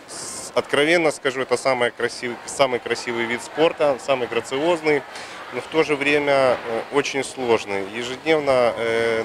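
A man in his forties, a sports official, giving a halting, formal interview answer. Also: medium pitch. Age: 40s. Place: large indoor sports hall. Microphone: handheld reporter microphone. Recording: loud busy sports hall noise.

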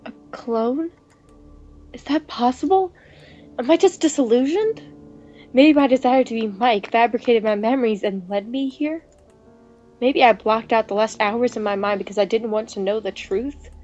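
Female gaming co-host, highish pitch, dramatic read-aloud style, slightly lo-fi mic. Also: moderate pace, darkish tone, faint music behind. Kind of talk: reading visual novel dialogue aloud, casual game chat.

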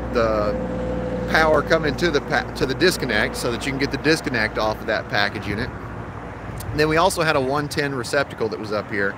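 A man in his thirties, an HVAC technician, talking casually to his phone camera on a commercial rooftop and explaining the job step by step. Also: mid-range voice. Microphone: phone held at arm's length. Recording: outdoor phone audio with traffic noise.

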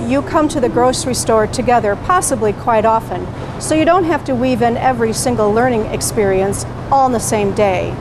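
A middle-aged woman with a high, clear voice explains things patiently, like a teacher guiding parents. She is recorded in a grocery store produce aisle, and a steady noise sits behind her words. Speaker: parent educator. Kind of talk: instructional presentation to camera.

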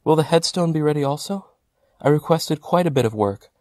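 An actor playing a polite, composed bereaved client at a funeral parlor in an audio drama, speaking courteously. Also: male voice, fairly high pitch, lively intonation, a little fast, dark tone, close to an everyday voice.

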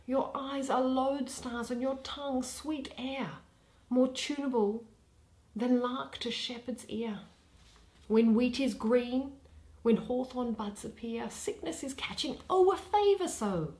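A young woman reading a play in verse aloud, dramatically and expressively, pausing between phrases. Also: a little high, a little mellow. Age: young adult. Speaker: reader of a Shakespeare play. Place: small plain room indoors.

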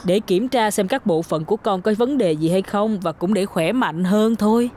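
A woman voicing a gentle, caring, motherly female vehicle in a cartoon dub. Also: mid-range voice, normal speed, heavy background noise, close to an everyday voice. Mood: reassuring. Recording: loud music behind the voice.

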